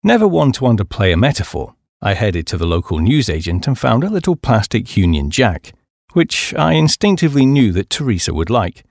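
A man's voice: fairly low pitch, very animated, fairly quick, vocal fry, darkish tone, dry recording, studio quality. A middle-aged British man reading a humorous memoir as an audiobook, with a wry storytelling delivery. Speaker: audiobook narrator.

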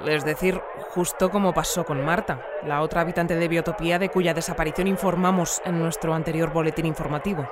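An actress playing a composed woman news presenter in a scripted radio drama bulletin, formal and measured in her delivery. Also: low-pitched, steady tone, background noise, close to an everyday voice. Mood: formal, matter-of-fact.

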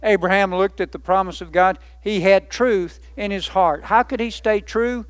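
A man's voice: high voice, steady tone, moderate pace, smooth, dry recording. A man preaching a sermon, emphatic, building lists by repetition and asking rhetorical questions.

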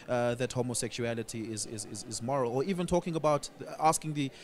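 A man's voice: medium pitch, fairly even intonation, quick pace. Man hosting radio discussion. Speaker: radio talk show host.

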